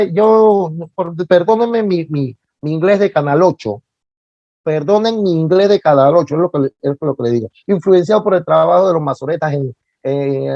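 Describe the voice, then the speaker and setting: A man giving an informal religious lecture as a catechist, speaking haltingly, repeating words for emphasis, with folksy asides. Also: fairly high pitch, mellow, no echo. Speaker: catechist giving a virtual talk. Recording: dull lo-fi online-talk audio.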